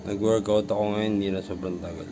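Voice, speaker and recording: A man's voice: on the low side, fairly even intonation, fast. A man vlogging casually at home about his workout gear, rambling and repeating himself as he talks into a phone camera. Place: home room with gym gear.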